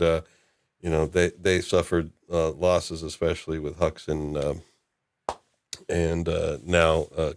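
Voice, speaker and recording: A man hosting a casual discussion, recapping in a halting, loosely improvised way. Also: low-pitched, clean tone, no echo. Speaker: host of a discussion show.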